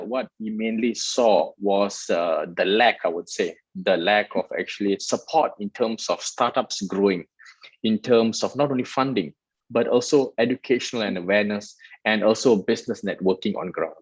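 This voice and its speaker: A man's voice: fairly low pitch, slightly rough, no echo, low-quality recording. A man from Malaysia, a guest in an interview, explaining his work at length in an earnest way with many restarts. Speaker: guest, startup festival director. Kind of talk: interview answers.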